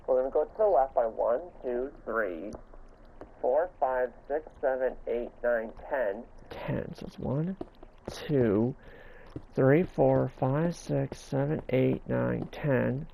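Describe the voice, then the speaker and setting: A man talks through a building game in a casual, instructional way. His delivery is repetitive and stumbling, with an occasional stutter. The sound is thin and phone-like, with faint noise behind it and some creak in his voice. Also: mid-range voice. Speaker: gaming video host. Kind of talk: casual Minecraft building tutorial commentary.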